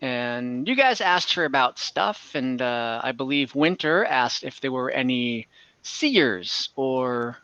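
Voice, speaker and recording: A man's voice: medium pitch, animated, takes it slowly, bright, forceful tone, clean tone, no echo, dull audio. A man casually recapping and narrating a tabletop game as game master, conversational and hesitant, often correcting himself. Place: indoor room, online game session.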